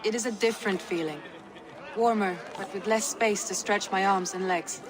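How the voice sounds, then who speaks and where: An actress playing a candid young Viking wife with a wry, frank manner in video game dialogue. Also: female voice, mid-range voice, moderate pace, lightly acted. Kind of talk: dramatic game cutscene dialogue. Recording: busy crowd and music behind.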